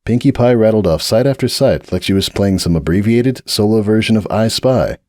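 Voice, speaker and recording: A man narrating a dramatic audiobook reading of a fanfiction story in a measured, expressive way. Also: lowish voice, no echo, studio quality.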